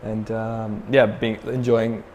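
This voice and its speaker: A man's voice: fairly low pitch, slow pace, in a large hall, dull audio, a little hiss or hum. A young man from Sri Lanka, a metal band frontman, chatting casually as an interview guest with lots of fillers and restarts. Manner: relaxed, many fillers and restarts.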